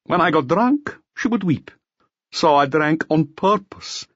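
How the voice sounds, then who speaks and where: A man's voice: on the high side, animated, a little slow, fairly bright tone, no room sound, muffled recording. A man voicing a bitter, self-loathing drunkard who confesses with agitated self-pity in an audiobook. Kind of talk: audiobook character acting.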